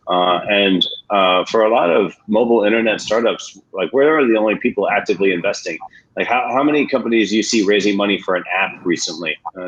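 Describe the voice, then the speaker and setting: A man with an American accent, speaking as a venture investor on a webinar panel in a blunt, colloquial way with many filler sounds. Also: lowish voice. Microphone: video call. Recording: muffled video-call audio.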